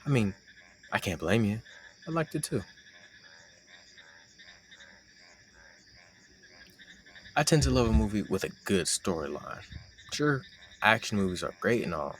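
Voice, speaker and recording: A man acts out a young male roommate with a low-pitched voice, bantering in a casual, teasing way. He speaks directly to the listener like a close friend hiding a crush, in a roleplay audio with slight background noise. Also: expressive, moderate pace, fairly bright tone. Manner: teasing, conversational, sarcastic banter.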